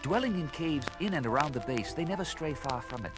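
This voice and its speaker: An actor playing a learned, courteous ape scientist on the run in a 1970s audio adventure, with erudite, hesitant, formal speech. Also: male voice, fairly high pitch, lively intonation, fairly quick, close to an everyday voice. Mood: thoughtful. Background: dramatic music.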